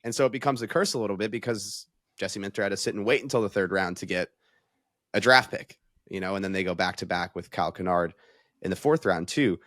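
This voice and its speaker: A man's voice: low voice, quick pace, fairly bright tone, dry recording, studio quality. A man talking through sports analysis in a conversational, casual way, thinking aloud as a panel analyst.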